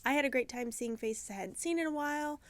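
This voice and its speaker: A woman who owns a yarn shop hosts her video podcast, talking alone to the camera from the shop. She sounds croaky and congested from sinus trouble, and she rambles in a chatty, self-deprecating way. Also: sits high, animated, fast, no echo. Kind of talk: casual video podcast chat. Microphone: camera mic, talking alone to camera.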